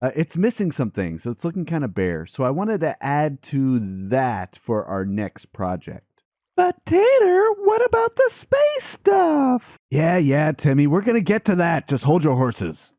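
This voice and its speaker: A man's voice: medium pitch, big pitch swings, moderate pace, darkish tone, no echo, studio quality. A man hosting a gaming let's play, chatting playfully and casually to viewers and game characters. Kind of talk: upbeat casual gameplay commentary.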